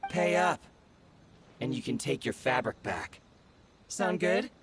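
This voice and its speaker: A man's voice: medium pitch, expressive, takes it slowly, gravelly, background noise. A man voicing a smug, thuggish bandit bully in a video game, taunting and menacing. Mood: smug menace. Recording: game music under the voice.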